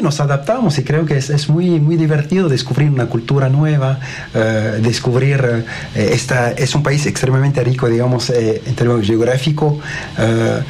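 A man, not a native Spanish speaker, answers interview questions politely, pausing often with fillers. His voice sits in a middle range with faint noise behind. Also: medium pitch, darkish tone. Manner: hesitant, many fillers, polite. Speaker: guest, technology director.

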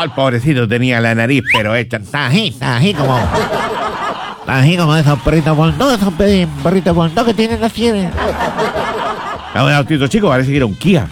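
Chilean male radio host, lively colloquial banter and rambling anecdotes, higher-than-average voice, clear music bed. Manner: rambling, jokey, colloquial, chuckles often. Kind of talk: casual humorous chat, anecdotes and listener greetings.

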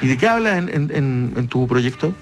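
A man's voice: medium pitch, animated, normal speed, faint music behind. A man with a Chilean accent hosting a casual radio interview, often restarting and repeating his questions. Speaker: radio show host.

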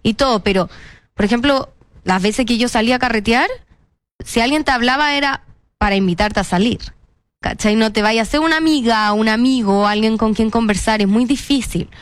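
A woman's voice: on the high side, brisk, dry recording. A young woman with a Chilean accent, chatting casually and expressively as an interview guest, often repeating words for emphasis. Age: young adult.

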